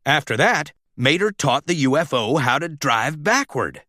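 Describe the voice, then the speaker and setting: Guy reading a kids storybook, slowish, bright voice, super dry recording. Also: a little high, very animated, dry recording. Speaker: storybook reader. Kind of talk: animated scripted storybook narration for children.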